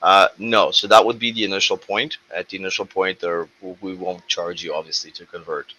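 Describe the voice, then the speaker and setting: A man hosting an online question-and-answer call, moderating in a brisk, businesslike way. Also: low voice, fairly even intonation, pushed and bright. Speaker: host running a community Q&A call. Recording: dull lo-fi call audio with faint noise.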